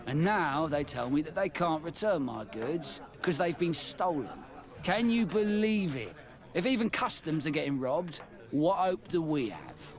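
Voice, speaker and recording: Animated, exasperated tavern keeper. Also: male voice, a little high, unhurried. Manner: expressive, grumbling.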